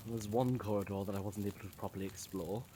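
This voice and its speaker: A man's voice: lowish voice, steady tone, fast, background noise, natural voice. A man playing a practical, terse explorer with a dry, businesslike manner in an audio drama. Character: practical, terse male explorer among captives. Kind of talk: fan audio drama acting.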